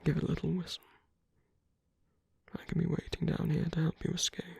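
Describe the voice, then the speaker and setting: A woman performs a flirtatious villain in an intimate audio roleplay. The voice is very husky and breathy, dark and low, slipping into coaxing whispers that are teasing and tender toward an enemy. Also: level tone, fairly quick, no room sound, exaggerated voice. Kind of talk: intimate ASMR roleplay acting.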